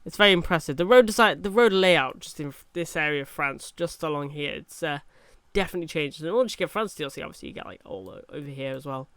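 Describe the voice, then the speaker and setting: A man's voice: fairly high pitch, fast talker, few pauses, fairly bright tone, clear, resonant tone, dry recording. A young man with a cold, chatting casually over a truck driving game, rambling with fillers and restarts. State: has a cold. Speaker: gaming YouTuber. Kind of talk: casual gameplay commentary.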